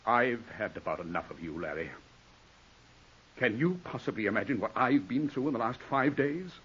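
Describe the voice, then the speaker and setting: An actor playing a middle-aged, domineering English lawyer scolding and lecturing in a 1940s radio drama. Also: male voice, medium pitch, lively intonation, normal speed, slightly rough. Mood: angry, outraged. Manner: forceful, scornful, lecturing. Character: domineering English lawyer, ambitious, exasperated older brother. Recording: dull 1940s radio broadcast recording.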